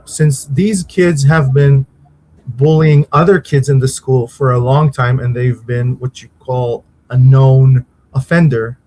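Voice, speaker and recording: Hesitant man, pausing for words. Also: medium pitch, dark tone, low-quality recording. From Israel. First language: Hebrew. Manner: halting, searches for words, many pauses. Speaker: interview guest, uncle of a victim. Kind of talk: conversational interview answers.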